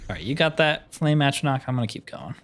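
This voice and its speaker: A man's voice: medium pitch, sing-song, fast talker, a bit bright, slight background noise. A man giving casual, wry commentary as he plays through a video game, often with dry humor. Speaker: gaming video host.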